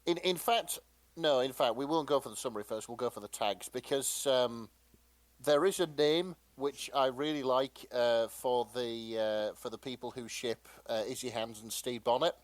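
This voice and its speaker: A man's voice: mid-range voice, moderate pace, no room sound. A man co-hosting a casual podcast, presenting and reading out fan fiction with a jokey, slightly hesitant delivery. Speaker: podcast co-host.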